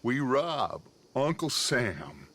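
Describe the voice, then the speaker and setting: An actor playing a charismatic, scheming American outlaw gang leader in a dramatic video game, speaking in grandiose, theatrical speeches. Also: male voice, medium pitch, sing-song, extremely slow, fairly bright tone, breathy edge, exaggerated voice. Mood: urgent, persuasive.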